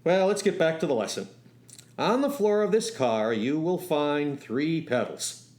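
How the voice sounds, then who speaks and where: A man playing wry, animated young storyteller, lightish voice. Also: a little high, measured pace. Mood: friendly, joking. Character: young male driving instructor turned soldier, eager, wry, romantic. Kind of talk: first-person radio storytelling with acted dialogue.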